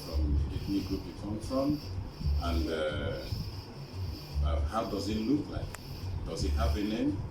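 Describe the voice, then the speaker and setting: Male interviewer, low and slow, polite and halting in his questions. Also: expressive. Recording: very noisy, roomy, distant microphone.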